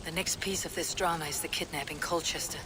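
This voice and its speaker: A woman's voice: low voice, fairly even intonation, quick pace, very noisy, lightly acted. A woman acting a bold, wry Viking warrior leader in a video game cutscene. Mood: dry, commanding. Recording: music behind the voice.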